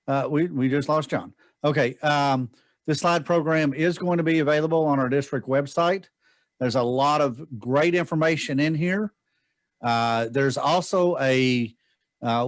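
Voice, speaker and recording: An American man with a fairly high voice hosts an online meeting in a casual way. The sound is very dry, with no room echo. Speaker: meeting host. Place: indoors on a video call.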